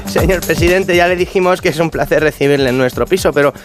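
A man acts out a fed-up young man from Spain complaining about his flatmate. His voice sits high for a man. Faint music plays underneath. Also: fast talker, lightly acted. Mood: polite. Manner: exasperated, complaining. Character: young male flatmate, exasperated host. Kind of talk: radio comedy sketch.

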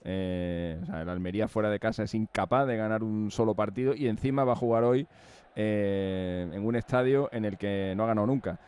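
Casting: middle-aged man, Spain accent, smooth resonant voice, chatty live football commentary. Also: on the low side, fairly quick, a little mellow. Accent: Spanish (Spain). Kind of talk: casual live sports talk, match preview.

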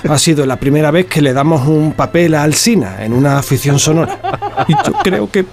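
Deadpan man with a fairly high voice. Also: moderate pace, a little hiss or hum. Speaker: scriptwriter and director being interviewed. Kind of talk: mock behind-the-scenes comedy interview.

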